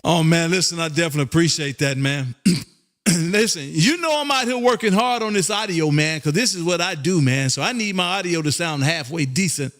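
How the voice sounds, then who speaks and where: A man from Texas hosting a ham radio live stream with a loud, showy, repetitive on-air patter. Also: a little high, animated, a little fast. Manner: loud, showy, repetitive, shouts and half-sings call signs. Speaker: amateur radio operator and live stream host. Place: radio room.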